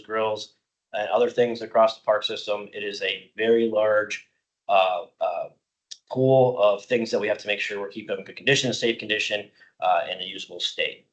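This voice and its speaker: Slightly hoarse man presenting a budget. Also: fairly low pitch, a bit bright, rough recording. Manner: frequent uh fillers, explanatory and informal. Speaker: county parks department staff presenter. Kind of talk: public meeting budget presentation.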